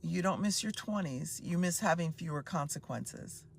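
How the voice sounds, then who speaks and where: A speaker in their fifties recites life advice as a calm run of short, quotable lines. The voice has a gravelly, creaky edge. The delivery stays even and measured throughout. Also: mid-range voice, slight background noise. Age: 50s. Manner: steady, aphoristic, list of short maxims.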